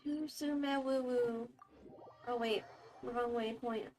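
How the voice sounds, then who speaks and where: A woman streams a game in a high voice. She speaks slowly, in short and casual reactions to what she plays. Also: steady tone, darkish tone, soft background music. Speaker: streamer playing a video game. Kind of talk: brief casual reactions to gameplay.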